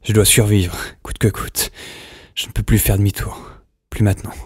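An actor playing a haunted lone survivor who keeps an audio diary, halting and brooding as he repeats his words. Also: on the low side, flat delivery, breathy edge, dry recording, clean studio sound. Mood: anxious, resolute. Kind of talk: audio drama monologue recorded as a diary.

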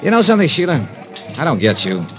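A man's voice: on the high side, huge pitch swings, fast, a crowd in the background. An American actor playing a wry, hard-boiled male investigator who also narrates, in a 1950s radio drama. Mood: probing. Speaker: lead actor and narrator of a detective series. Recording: 1950s radio broadcast with music.

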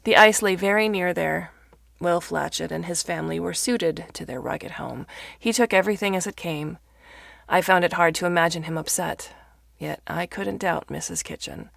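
Female narrator for short fiction: gravelly, dry close recording, measured storytelling from a script. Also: lowish voice, fairly bright tone, no room sound.

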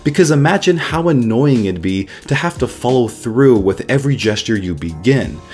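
Man, host, nasal raspy voice from a cold, steady scripted voice-over, faint music underneath. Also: mid-range voice, normal speed. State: sick with a cold. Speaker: host of a tech explainer channel.

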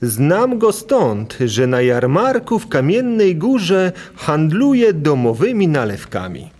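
An actor playing an earnest, thoughtful, helpful monk in an audio drama. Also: male voice, a little high, wildly swooping pitch, slow pace, darkish tone, full character voice.

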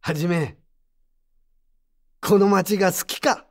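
A woman playing a gruff but kindly old craftsman with curt old-man speech in an audio drama. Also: lowish voice, takes it slowly. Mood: fatherly. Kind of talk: Japanese audio drama acting. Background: background music.

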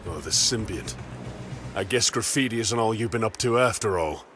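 Gruff swooping game soldier. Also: male voice, fairly low pitch, lively intonation, moderate pace, fairly bright tone, faint music behind. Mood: gruff, suspicious. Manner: gruff, blunt, terse. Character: gruff, hot-tempered armoured male soldier. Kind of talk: video game character dialogue.

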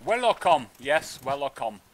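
A man's voice: a little high, very animated, slow pace. A man streaming a video game, giving casual, wry commentary as if half to himself, with game audio behind him. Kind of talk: casual live gameplay commentary. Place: indoors at a streaming setup.

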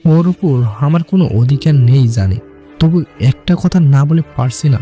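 A man's voice: a little high, expressive, moderate pace, mellow, soft background music, close to an everyday voice. A man playing a possessive, scheming young rival in an audio drama, with a calculating, menacing manner. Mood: falsely polite. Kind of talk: audio drama villain acting.

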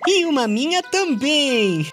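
Casting: small bossy excavator truck, kids' cartoon, high and slow-paced, grumpy exclamations. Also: female voice, big pitch swings, music in the background, full character voice. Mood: eager, bossy. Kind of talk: children's cartoon dubbing.

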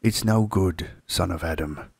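A man voicing a grave, homely male beaver in a storybook, with a husky voice and an unhurried way of talking. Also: low-pitched, a little mellow, dry recording, studio quality, exaggerated voice.

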